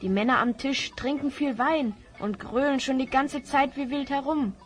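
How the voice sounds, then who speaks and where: An actress playing a sensible, slightly worried child hero in a fantasy audio drama, with a natural, chiding manner. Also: female voice, sits high, steady tone, moderate pace, soft background music, close to an everyday voice. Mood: mildly worried.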